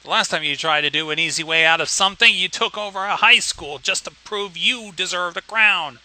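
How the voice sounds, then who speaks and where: A man's voice: high voice, brisk, bright, forceful tone, rough recording. A man co-hosting a livestream, bantering casually in a blunt, sweary way. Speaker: livestream co-host commenting on a story.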